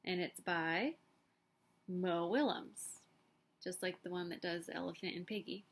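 A woman in her thirties reading a picture book aloud to children, with quiet explanatory asides. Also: on the low side, unhurried. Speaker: storybook reader. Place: small indoor room by a window.

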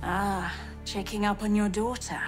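Game role: a woman doing a wry, sharp young scientist over music. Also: medium pitch, fairly even intonation, unhurried, lightly acted. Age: young adult. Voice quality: wry, bold. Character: sharp-tongued young female researcher. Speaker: scientist daughter.

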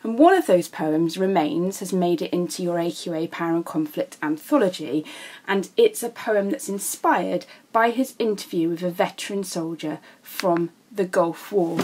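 A woman's voice: on the low side, darkish tone, breathy edge. A woman with a British accent, an English teacher explaining step by step in a narrated video lesson. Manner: explanatory, signposting each step.

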